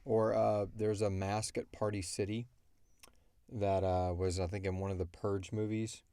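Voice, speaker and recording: Male podcast host, lower register, dry close sound, loose rambling chat with self-mocking humor. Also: little pitch movement, a little slow, warm tone, clear, resonant tone, no room sound. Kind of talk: casual question-and-answer chat.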